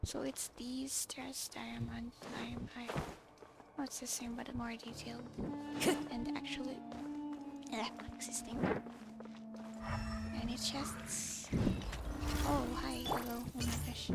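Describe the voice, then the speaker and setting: A woman's voice: on the high side, level tone, slow pace, vocal fry, pauses often. A woman doing casual gameplay commentary, muttering short reactions to herself. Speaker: gamer playing for own video channel. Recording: loud game music behind voice. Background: loud game music.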